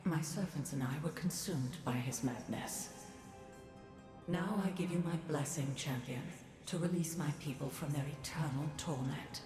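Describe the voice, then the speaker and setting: A woman's voice: deep voice, normal speed, natural voice. An actress playing the sorrowful, regal ghost of a queen in a video game, speaking solemnly and formally. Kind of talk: dramatic video game voice acting. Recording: roomy echo over music.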